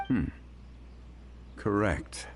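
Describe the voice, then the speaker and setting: A man voicing a composed, erudite gentleman investigator in a video game, formal and deliberate. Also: noisy background. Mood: pensive.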